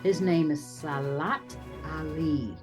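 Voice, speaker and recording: A woman's voice: low voice, slow. Lady hosting an interview show, encouraging, faint music underneath. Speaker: show host. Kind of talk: hosted interview.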